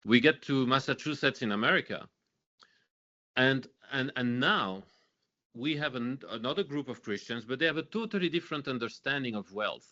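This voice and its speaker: Man, earnest speaker, bright forward tone, slightly dull lo-fi recording. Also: mid-range voice. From Belgium. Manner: repeats and restarts, earnest. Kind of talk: teaching talk. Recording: dull lo-fi sound.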